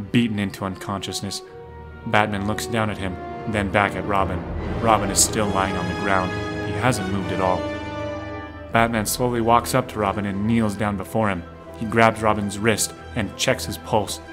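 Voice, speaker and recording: A man narrating a scripted audio drama in the present tense, scene by scene. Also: on the low side.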